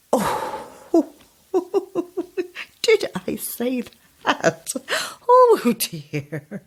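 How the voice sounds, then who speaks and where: A woman playing a sly, motherly hostess in her seventies in an audio drama, husky, blunt and playful, cooing endearments. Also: high-pitched, full character voice. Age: 70s. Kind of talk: audio horror drama acting.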